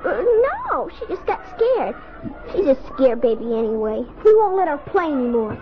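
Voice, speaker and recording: A woman's voice: high-pitched, big pitch swings, normal speed, over loud music. A female actor playing a cheeky, evasive, unruly little girl with a breezy, childlike manner in a 1950 radio drama. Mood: evasive, matter-of-fact. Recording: muffled old radio recording, crowd noise.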